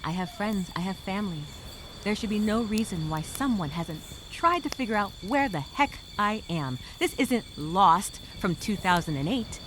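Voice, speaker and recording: A woman performing a stranded castaway speaking a journal aloud. She is wry and weary, with a sarcastic streak of hope. Crickets and insects chirp clearly behind her. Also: medium pitch, lively intonation, moderate pace, noisy background, lightly acted. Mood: weary musing. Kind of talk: dramatic first-person journal monologue.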